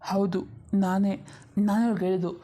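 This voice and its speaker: ಮಹಿಳೆ ನಟಿಸಿದ ಮೃದು ದನಿಯ ರಕ್ಷಕ ಸ್ವಭಾವದ ಯುವಕ. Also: female voice, medium pitch, steady tone, fairly quick, mellow, natural voice. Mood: firm. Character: earnest, protective young man guarding a secret. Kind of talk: amateur cartoon story dialogue.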